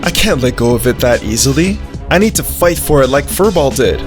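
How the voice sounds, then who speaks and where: Earnest young dog acted out, food-loving, naive, lively swooping delivery, visual novel narration, music behind. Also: mid-range voice, a little fast, natural voice. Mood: determined. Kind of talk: first-person visual novel narration with character dialogue.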